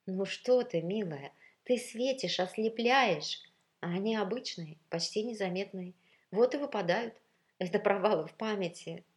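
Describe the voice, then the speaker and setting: A woman's voice: mid-range voice, moderate pace, voice pushed up, natural voice. Woman acting a tender, doting, coaxing man. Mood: soothing. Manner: cooing, coaxing. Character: tender, doting, reassuring man soothing his beloved.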